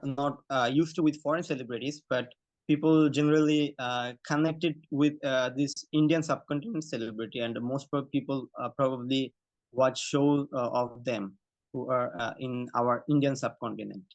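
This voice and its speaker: A man's voice: medium pitch, steady tone, moderate pace, a little mellow, dry recording. A young man from Bangladesh with a Bangladeshi accent, answering exam questions over a video call with many fillers and restarts. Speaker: test candidate. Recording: slightly muffled video call audio.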